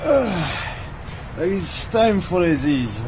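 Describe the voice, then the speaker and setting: An actor playing a greedy, gloating Italian pilot turned hijacker in a radio drama, talking in clipped phrases as if to himself. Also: male voice, sits high, sing-song, extremely slow, noisy background, heavily acted. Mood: affable. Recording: loud music and aircraft engine behind.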